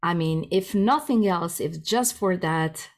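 A woman's voice: fairly low pitch, unhurried. A woman with a Croatian accent, a native Croatian speaker, chatting casually and giggly as an interview guest.

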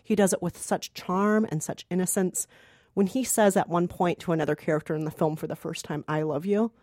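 A woman's voice: fairly low pitch, fairly even intonation, fairly quick, a little mellow, no room sound, clean studio sound. A woman co-hosting a podcast, giving an emphatic, enthusiastic film review in a conversational style. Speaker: podcast co-host and film critic.

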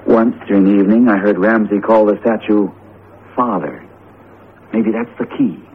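Earnest, low-voiced man in old radio. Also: sing-song, moderate pace, gravelly. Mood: grimly determined. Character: jumpy, determined male teacher confronting a cursed statue. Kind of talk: vintage radio drama acting. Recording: tinny, narrowband old radio broadcast, noisy.

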